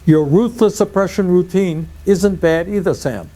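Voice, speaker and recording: A man's voice: high-pitched, moderate pace, warm tone. A man playing a cynical, scheming militia leader in an audio drama, with a wry manner. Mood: smug amusement.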